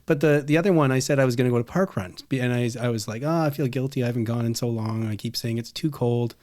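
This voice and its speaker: A man's voice: medium pitch, fast, a little mellow. A middle-aged man with a Canadian accent, chatting casually and telling rambling anecdotes as a podcast co-host. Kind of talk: casual chat.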